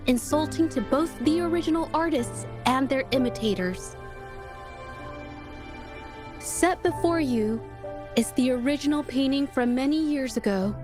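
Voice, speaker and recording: A young woman painter from an anime-style game in a high, girlish register. She is shy yet earnest, speaking with heartfelt sincerity. Clear background music plays under her words. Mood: firm accusation. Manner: soft-hearted, earnest, hesitant when flustered. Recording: music behind the voice. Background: game soundtrack music.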